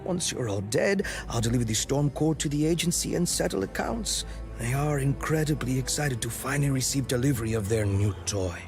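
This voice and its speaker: A man's voice: medium pitch, expressive, brisk, creaky. A man acting a smug, suave middle-aged villain in a video game cutscene, mock-polite and condescending, with theatrical phrasing. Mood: smug, menacing. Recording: loud music bed under the voice. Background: music.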